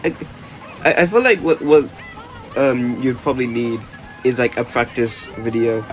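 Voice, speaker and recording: A man's voice: mid-range voice, moderate pace, rough recording. Young guy vlogging, rambly and stammery, swears a lot, bit of music behind. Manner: rambling, stammers and restarts, frequent "like", swears casually. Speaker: YouTuber. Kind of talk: casual vlog talk.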